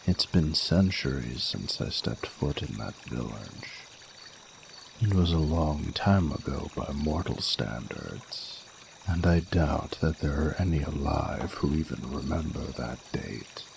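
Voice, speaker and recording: An ancient, regal dragon king, played by a man, speaks in an exceptionally low rumble thick with gravelly fry. He is slow, formal and intimate, a tender, flirtatious lover in an audio romance, with clearly audible watery noise behind him. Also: animated, exaggerated voice. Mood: courtly, affectionate. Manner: formal, intimate, archaic courtly phrasing. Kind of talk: scripted romantic audio roleplay. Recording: noticeable noise, running water sounds.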